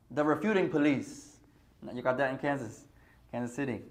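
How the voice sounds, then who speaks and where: A man giving an informal religious lesson, talking straight to his audience and mixing in Arabic phrases. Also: high-pitched. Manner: conversational, mixes Arabic phrases, addresses audience as brothers. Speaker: Islamic teacher.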